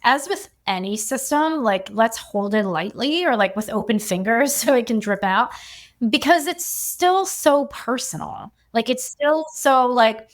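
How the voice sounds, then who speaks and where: A woman human design coach talking as a podcast guest, chatty and relaxed, and she often says "like.". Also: fairly high pitch, a little slow. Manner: chatty, fills her speech with "like". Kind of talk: casual podcast conversation.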